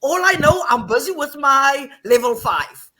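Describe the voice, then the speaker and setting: A middle-aged woman from the Western Cape with an Afrikaans South African accent, telling her story in animated casual talk on a video call. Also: high-pitched, unhurried. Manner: animated, repeats phrases, laughs often. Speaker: guest, online English teacher.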